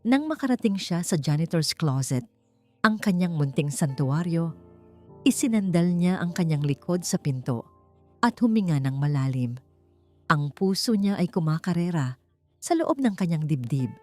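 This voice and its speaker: Filipino woman doing dramatic audiobook narration, low voice, bit of music underneath. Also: expressive, normal speed, a little mellow. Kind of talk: dramatic scripted story narration. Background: background music.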